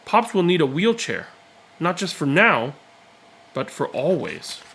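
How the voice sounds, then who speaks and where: A man reading a children's picture book aloud, with expressive, deliberate and dramatic phrasing. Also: a little high, creaky, breathy edge. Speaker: read-aloud storyteller.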